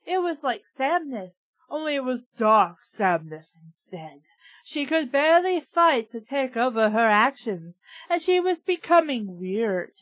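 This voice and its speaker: A high-pitched woman reads bad fan fiction aloud at an unhurried pace, switching from deadpan narration to sarcastic asides. The sound is dry and close, and a little dull and lo-fi. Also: no room sound. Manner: deadpan reading broken by sarcastic asides and giggles. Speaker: reader and podcast host. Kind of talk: comic dramatic reading of fan fiction.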